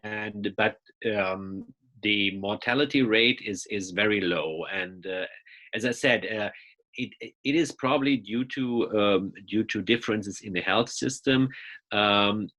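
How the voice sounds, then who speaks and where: Man, German accent, low pitch, hesitant speech, video call. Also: a little slow, pushed and bright, poor audio. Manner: hesitant, many fillers and restarts. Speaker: interview guest.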